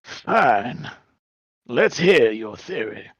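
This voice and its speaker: A man's voice: medium pitch, very animated, very slow, dull audio, a crowd in the background. A man voicing a smug, theatrical prosecutor in a live fan dub of a courtroom video game, sardonic and dismissive. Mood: challenging, indignant.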